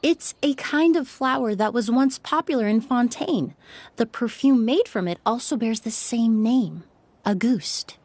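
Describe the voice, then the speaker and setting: A woman voicing a polite, composed young perfumer in a video game, explaining things in a measured, courteous way. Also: a little high, a little mellow. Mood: storytelling.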